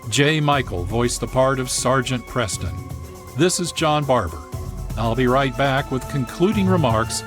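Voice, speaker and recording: A man's voice: mid-range voice, lively intonation, a little slow, a bit bright. A man hosting a radio programme, presenting from a script. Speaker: radio host and producer. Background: music.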